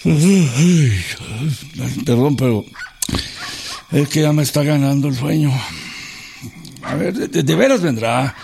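An actor with a Mexican accent playing a polite, hesitant, weary revolutionary organizer in a radio drama. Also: male voice, a little high, expressive, slow pace, vocal fry, long pauses, noisy background, exaggerated voice. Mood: weary, impatient. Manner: hesitant, deferential. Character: polite, weary revolutionary organizer, uneasy but courteous man. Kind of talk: Spanish-language radio drama dialogue.

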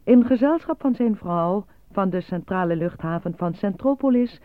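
A thin, phone-like and very dry broadcast. a formal state newsreader in a radio play, reading official bulletins. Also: male voice, high-pitched, animated, normal speed, dry recording.

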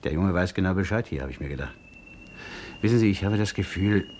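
An actor in a 1950s German radio crime drama playing an anxious, hot-tempered working-class man who tells his story haltingly. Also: low-pitched, lively intonation, darkish tone. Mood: uneasy, confiding.

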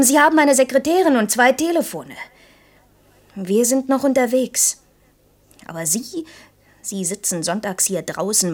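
A young actress playing a proud, outspoken young working woman in an intimate German radio drama, earnest and reproachful. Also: fairly high pitch. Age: young adult. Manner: earnest, reproachful, shifting between insistent outbursts and hushed confiding.

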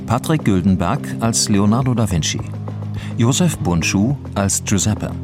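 Low male radio announcer over guitar. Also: lively intonation, a little slow. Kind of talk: scripted radio credits announcement.

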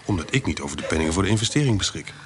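An actor playing a sly, shabby swindler in a Dutch radio play, wry and persuasive with a slightly hesitant delivery. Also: male voice, deep voice, expressive, racing. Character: sly, shabby male swindler pitching a shady trade. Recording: noisy radio play recording.

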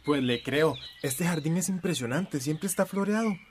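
A man's voice: on the high side, moderate pace, a little hiss or hum, natural voice. A man playing a concerned, practical friend in a radio drama, earnest and explanatory.

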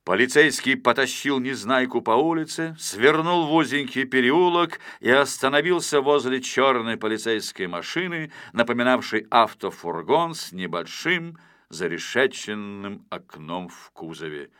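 Male narrator, children's audio story, expressive, very lively intonation, dry sound. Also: mid-range voice, moderate pace, few pauses, no room sound.

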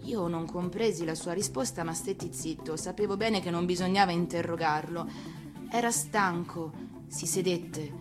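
A woman, an actress, reading a fairy tale for radio in an expressive storybook narration. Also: fairly low pitch, normal speed, over music.

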